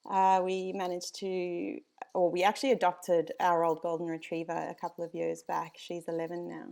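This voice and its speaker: South African woman, dog breeder guest, lowish pitch, loose chatty delivery. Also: monotone, moderate pace, creaky, darkish tone. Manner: chatty, frequent ums, laughs easily. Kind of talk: casual podcast chat.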